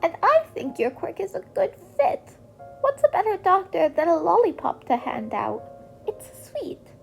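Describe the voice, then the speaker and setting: A woman voicing a kindly old female healer, gentle, unhurried and soothing, in an acted story. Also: very high voice, wildly swooping pitch, creaky, soft background music, voice pushed up, full character voice. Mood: warm, teasing.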